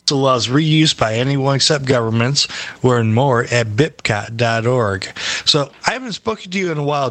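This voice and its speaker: A man's voice: mid-range voice, a bit bright, no room sound. A man hosting a podcast, asking his co-hosts questions and teasing them in casual chat. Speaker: podcast host.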